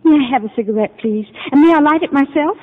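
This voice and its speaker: An actress playing a confused, fragile married psychiatric patient, hesitant and bewildered, in 1950 radio drama style. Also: female voice, sits high, fast, close to an everyday voice. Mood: childlike, anxious. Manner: hesitant, bewildered, childlike questioning. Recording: muffled narrow-band 1950 radio broadcast.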